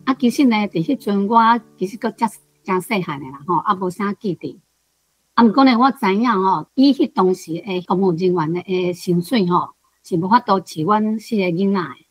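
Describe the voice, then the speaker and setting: Taiwanese woman, 60s, husky dry-miked voice, deliberate personal reflection, faint guitar underneath. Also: medium pitch, no room sound. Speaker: Taiwanese-language teacher, panel guest.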